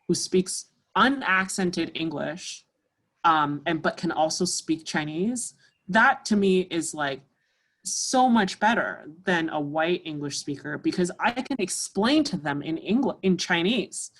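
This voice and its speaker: A woman's voice: lowish voice, expressive, a little slow, fairly bright tone, low-quality recording. A woman from Canada, a musician guest, talking casually in an interview with many fillers.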